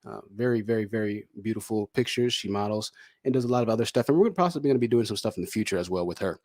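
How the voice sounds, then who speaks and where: A man hosting a casual podcast interview, from Michigan, relaxed and chatty with long asides. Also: fairly low pitch, very fast, no room sound, studio quality. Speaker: podcast host.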